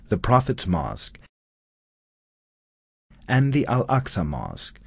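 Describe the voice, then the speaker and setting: A man narrating a scripted documentary in a measured, solemn studio voice-over. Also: on the low side, darkish tone, breathy edge, no room sound.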